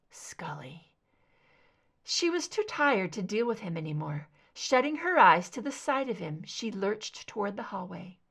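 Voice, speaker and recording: A woman's voice: medium pitch, vocal fry, a bit bright. A woman reading a scripted story aloud as an amateur audiobook narrator.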